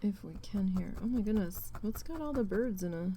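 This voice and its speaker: A woman losing her voice, chatting casually and slowly with self-deprecating asides while she does a craft project at home. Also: mid-range voice, lively intonation, warm tone, a little hiss or hum. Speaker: needle felting crafter.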